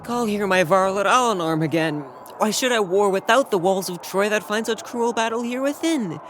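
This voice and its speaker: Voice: medium pitch, moderate pace, a bit bright, slight background noise, close to an everyday voice. A young performer playing a lovesick, despairing Trojan prince in a Shakespearean audio drama, impassioned and given to sighing declamation. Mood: bitter, self-pitying. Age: young adult.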